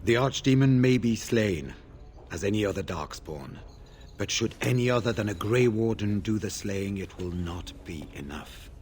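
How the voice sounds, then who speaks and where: A man playing a grave, weary older warden in a video game, speaking in a formal, measured way. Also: lowish voice, animated, darkish tone, close to an everyday voice. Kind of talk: scripted video game dialogue.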